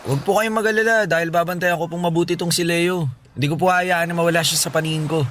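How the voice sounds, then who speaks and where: Performer as a fast-talking earnest young boy. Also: mid-range voice, steady tone, a bit bright, slight background noise, natural voice. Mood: pleading. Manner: polite, earnest, eager. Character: dutiful, pleading young boy, protective older brother. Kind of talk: radio drama acting.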